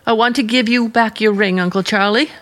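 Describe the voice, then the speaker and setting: An actress playing an earnest, troubled young niece from a small town in a radio play, wounded and pleading. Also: female voice, on the high side, fairly quick, a bit bright, close to an everyday voice. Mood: wounded reproach. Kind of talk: dramatic radio play acting.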